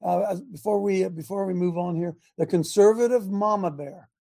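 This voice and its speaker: A man hosting a casual talk show, his voice sitting high with a gravelly edge. He is folksy, repeats his lines and says amen a lot. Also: moderate pace, dark tone. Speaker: host.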